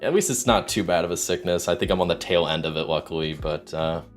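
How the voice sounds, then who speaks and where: Deep-voiced man with a sore throat. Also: quick pace, soft background music. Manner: rambling, many ums and restarts, greets chat viewers. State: sick with sore throat, starting to lose his voice. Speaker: solo RPG streamer. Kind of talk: casual livestream chat explaining game rules.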